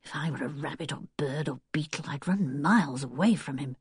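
Woman acting out young girl, anxious, fretful, squeamish, lively intonation, children's storybook, dry recording. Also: medium pitch, normal speed, husky, dry recording. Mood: bitter musing. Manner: fretful, expressive.

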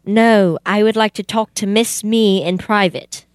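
A woman's voice: medium pitch, steady tone, measured pace, no room sound, clean studio sound, lightly acted. Young woman as a formal, methodical police detective. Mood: polite, puzzled. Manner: formal, questioning. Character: polite, methodical, puzzled male police detective. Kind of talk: amateur old-time radio theater acting.

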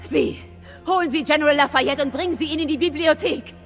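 A woman's voice: sits high, fairly quick, close to an everyday voice. An actress playing a worried, forthright Victorian lady knight in an audio drama, speaking with urgent, emotional insistence. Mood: commanding. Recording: reverberant hall, music underneath.

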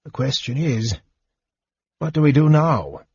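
A man voicing a gentle, weary old male wizard who speaks softly. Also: medium pitch, sing-song, measured pace, slightly rough, no room sound, muffled recording, voice pushed up, heavily acted. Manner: soft, gentle.

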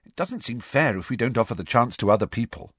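Guy doing a bossy clever schoolgirl, higher voice, eager and pushy, bit husky. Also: big pitch swings, moderate pace, dry recording. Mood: persuasive. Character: clever bossy teenage schoolgirl, earnest and persistent.

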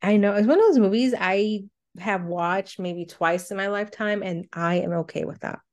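A woman's voice: mid-range voice, moderate pace. A woman co-hosting a casual countdown show, reading out entries and reminiscing in a conversational way. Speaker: co-host of a cartoon countdown show.

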